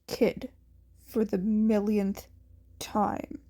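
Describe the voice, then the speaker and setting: A woman voicing a gruff, weary but caring adult male mentor, firm and reassuring, in a fan fiction reading. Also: level tone, drawn-out words, mellow. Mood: exasperated. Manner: firm, low-key, reassuring.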